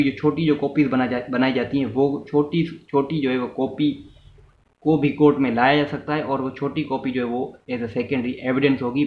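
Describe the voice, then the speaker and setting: A man with a Pakistani accent gives an informal explanatory law lesson, repeating and rephrasing his points and slipping into English legal terms. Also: mid-range voice. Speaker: law lecturer. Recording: echoey room, muffled, faint noise.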